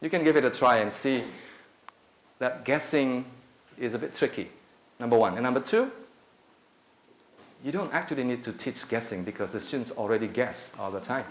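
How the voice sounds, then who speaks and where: A middle-aged man from Indonesia, a native Indonesian speaker, giving a conversational lecture to teachers, with many filler pauses, holding a handheld mic in a lecture room. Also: mid-range voice, animated. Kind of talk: conference talk. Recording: echoey room with faint noise.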